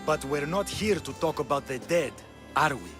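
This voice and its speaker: Male actor as sly king, courtly, condescending, slightly high register, video game drama, music underneath. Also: lively intonation, moderate pace, natural voice. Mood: persuasive. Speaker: actor voicing a game character.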